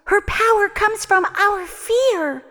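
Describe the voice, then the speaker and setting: An actress playing an earnest, cautioning good fairy in a fairytale audio drama, urgent in manner. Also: female voice, very high voice, slow pace, sharp tone, full character voice. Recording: long hall reverb.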